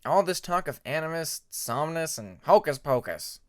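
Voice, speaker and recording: A man's voice: on the high side, lively intonation, moderate pace, close to an everyday voice. A man voicing a skeptical young male dragon, a loyal friend who talks in a blunt, grumbling way. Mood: exasperated.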